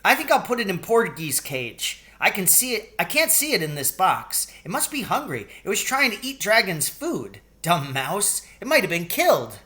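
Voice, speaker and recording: An eager young farm boy from a storybook, acted out by a man reading aloud. The pitch is lifted well above an adult man's. He sounds excitable, stubborn and insistent. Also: moderate pace, hardly any pauses, exaggerated voice.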